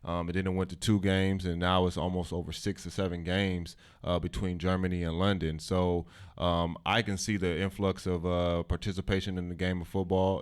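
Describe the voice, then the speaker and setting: Coach, man, very low flat voice, smooth tone, halting with fillers. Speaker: football coach. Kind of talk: casual interview answers.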